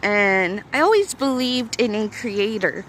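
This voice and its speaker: A woman's voice: mid-range voice, animated, a little slow, a bit bright, a little hiss or hum. A woman in her thirties with a young-sounding voice, chatting casually to camera in a rambling, hesitant way with many fillers. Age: 30s. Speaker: vlogger.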